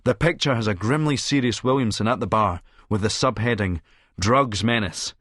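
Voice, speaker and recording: An actor with a Scottish accent narrating an audiobook as a cynical, vain Edinburgh publican, smug, sardonic and wordy. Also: male voice, on the low side, normal speed, fairly bright tone, no echo, studio quality. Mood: mock solemn. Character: cynical, vain male Edinburgh publican and schemer, smugly self-satisfied. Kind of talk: first-person audiobook narration in character.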